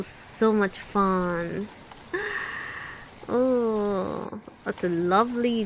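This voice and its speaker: A woman's voice: on the high side, a bit bright, a little hiss or hum, poor audio. A woman reading a storybook aloud expressively for toddlers, speaking directly to young children as host. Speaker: storybook reader and channel host.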